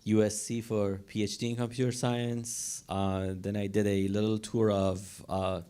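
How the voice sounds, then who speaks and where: A man's voice: fairly low pitch, flat delivery, unhurried, dark tone, smooth. A middle-aged man from Iran with an Iranian accent, chatting casually as a co-founder being interviewed. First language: Persian. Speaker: interview guest, tech company co-founder.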